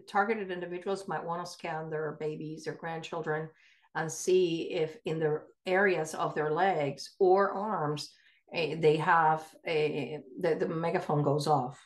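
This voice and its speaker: Low-voiced woman speaking earnestly and haltingly. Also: fairly even intonation. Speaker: co-host of an advocacy podcast. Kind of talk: earnest unscripted advocacy talk.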